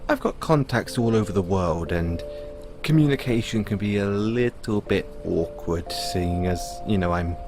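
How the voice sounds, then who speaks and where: A British man playing a kindly, chatty owner of a rescued android in an audio roleplay, speaking gently and hesitantly to the listener with small stammers. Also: fairly low pitch, a little slow, music in the background. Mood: chatty, encouraging.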